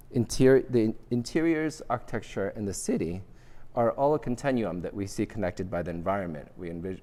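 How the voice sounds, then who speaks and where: Middle-aged male architecture professor, Vietnamese first language, low voice, thoughtful halting delivery, reverberant lecture hall. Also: a little mellow. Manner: measured, thoughtful, with frequent restarts and fillers. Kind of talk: academic lecture with slides.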